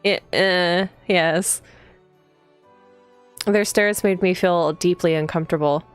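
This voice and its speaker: Low-pitched woman streamer with playful commentary. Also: smooth. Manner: playful, teasing, giggly asides to viewers. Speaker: streamer playing a visual novel. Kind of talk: casual live gameplay commentary and reading aloud. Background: game music.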